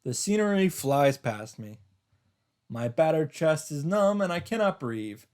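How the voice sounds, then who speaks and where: A man reading a first-person story aloud in a theatrical, halting way, with dramatic emphasis. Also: on the high side, sing-song, a little mellow. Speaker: streamer reading a visual novel aloud.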